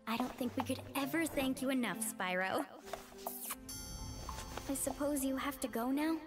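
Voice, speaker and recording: An earnest young faun. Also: female voice, sits high, expressive, normal speed. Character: friendly young faun woman, earnest helper. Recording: game audio with music behind.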